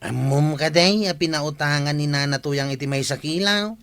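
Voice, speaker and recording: Needling barangay gossip played by a man, Ilocano accent, slightly high register, radio comedy dialogue. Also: normal speed, fairly bright tone, smooth, no echo. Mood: teasing.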